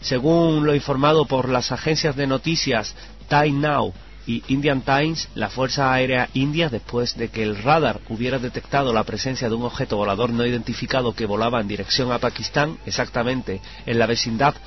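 A man's voice: medium pitch, moderate pace. A man reading scripted news items on a radio show. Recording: dull radio sound with music. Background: background music.

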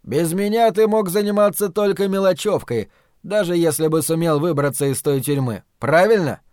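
A man voicing a sinister, commanding dark master who taunts and purrs with false tenderness in an audiobook. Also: on the high side, moderate pace, dry recording, clean studio sound, close to an everyday voice. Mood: smug, domineering. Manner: imperious, taunting, swinging from roars to purring.

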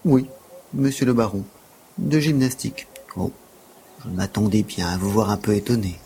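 A humble, frail gymnastics instructor played by a man speaks in a low, creaky voice. He is earnest and deferential, telling things in formal, long-winded phrases, as in a radio play. There is faint noise behind him. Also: moderate pace, warm tone, natural voice. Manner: deferential, wordy, formal storytelling. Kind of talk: audio drama acting.

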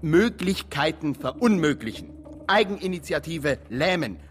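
A man's voice: a little high, animated, moderate pace, lightly acted. An actor as a pompous, wildly swooping ad man. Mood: indignant. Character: pompous ad-agency man pitching a campaign. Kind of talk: satirical radio drama acting.

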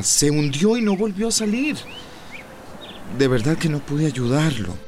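A humble shipwreck survivor in a radio drama, recounting his ordeal earnestly in a mid-pitched male voice. Music plays clearly behind him. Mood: somber. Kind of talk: radio soap opera acting.

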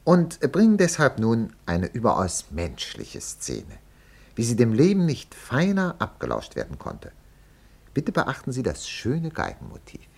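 Hugely singsong, husky radio announcer. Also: male voice, mid-range voice, wildly swooping pitch, unhurried, a little mellow, full character voice. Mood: mock-solemn. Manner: formal, overly polite, pompous. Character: unctuous, mock-solemn male radio announcer. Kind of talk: parody of 1950s radio announcing.

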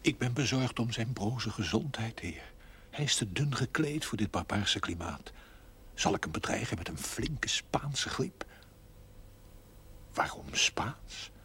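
An actor playing a formal, pompous manservant with ornate, archaic phrasing in a television period drama. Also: male voice, on the low side, expressive, measured pace, a bit bright, husky, exaggerated voice. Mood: solemn, concerned. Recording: faintly noisy old television soundtrack.

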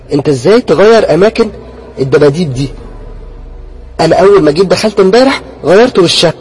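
A man with an Egyptian accent voicing a frightened young woman who scolds and pleads in a shrill, hurried way while telling a ghost story. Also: creaky, darkish tone, rough recording. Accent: Egyptian Arabic.

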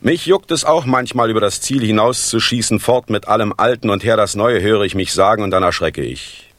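An actor playing a smug, menacing older East German party official in a radio drama, speaking in a measured, declamatory and falsely courteous way. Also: male voice, on the low side, a bit bright, lightly acted. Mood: mocking, ideological.

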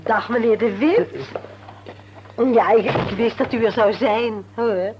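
An actress playing a gushing, childish sister-in-law in a radio play, talking in a naive, fluttery, chirpy way. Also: female voice, on the high side, slow. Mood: flustered, eager. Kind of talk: Dutch radio play acting. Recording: muffled, hissy old radio recording.

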